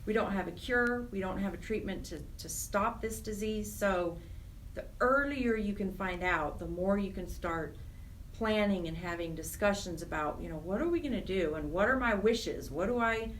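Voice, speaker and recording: Female radio interview guest, mid-pitched, earnest and explanatory, rephrases as she goes, background noise. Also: lively intonation, normal speed, rough recording. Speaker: guest, charity program director.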